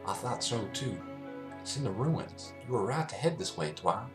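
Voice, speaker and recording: A man's voice: mid-range voice, animated, normal speed, reverberant, over music, pitched above the performer's own voice, natural voice. A man voicing a plain-spoken, earnest female farm pony with a folksy, frank manner in a story reading.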